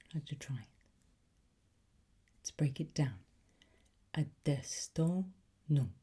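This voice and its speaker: A woman whispering an ASMR roleplay as a sly, softly menacing demonic teacher, in a coaxing, teacherly manner with pauses. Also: low-pitched. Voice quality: breathy, mostly whispered.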